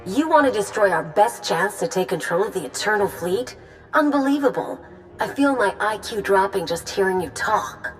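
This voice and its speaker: A woman's voice: medium pitch, expressive, moderate pace, soft background music, lightly acted. A woman playing a haughty, sardonic and headstrong Sith operative in a video game, scornful and sarcastic. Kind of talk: dramatic video game voice acting.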